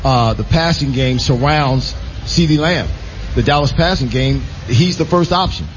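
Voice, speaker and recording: A man's voice: mid-range voice, unhurried. A man, a former athlete, making emphatic, repeated points in a casual sports discussion. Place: live broadcast from a casino. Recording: muffled, faintly noisy live venue recording.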